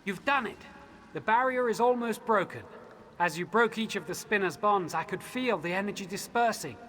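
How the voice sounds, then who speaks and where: An actor playing an earnest elf leader in a video game, giving measured, solemn instructions with urgency. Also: male voice, sits high, a bit bright, a little hiss or hum. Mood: excited, urgent. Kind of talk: video game quest dialogue.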